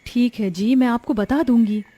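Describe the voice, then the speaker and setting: A woman's voice: a little high, normal speed, warm tone, lightly acted. A woman voicing an earnest, hopeful young wife in a moral-story cartoon. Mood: reassuring.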